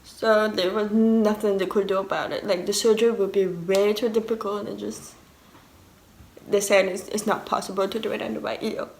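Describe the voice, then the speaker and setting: A woman's voice: medium pitch, level tone, moderate pace, a little mellow, roomy sound, rough recording. A woman in her twenties from Canada, a native French speaker with a French and deaf accent, talking candidly in a casual vlog. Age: 20s. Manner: candid, fillers and restarts, quoting others. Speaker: vlogger.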